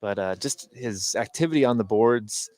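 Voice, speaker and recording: A man giving conversational basketball analysis as a color commentator, informal and often pausing to find his words. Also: on the low side, darkish tone, dry recording. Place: indoor basketball gym, courtside. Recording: live gym broadcast, faint background noise.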